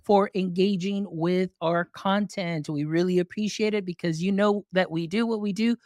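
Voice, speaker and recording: A man's voice: sits high, fairly even intonation, moderate pace, darkish tone, no echo. A man hosts his podcast in an upbeat, effusive way. He greets listeners and guests with lots of thanks. The recording is dry and close. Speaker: podcast host. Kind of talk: enthusiastic podcast interview.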